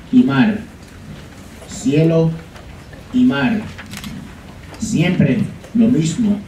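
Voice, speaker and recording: A young man reading a poem aloud in a slow, measured recital at a college podium. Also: fairly high pitch, warm tone, slight background noise. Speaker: student reader. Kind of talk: dramatic poetry reading in Spanish. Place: college lecture room. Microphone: podium mic from a far camera. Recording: echoey room, mic far off.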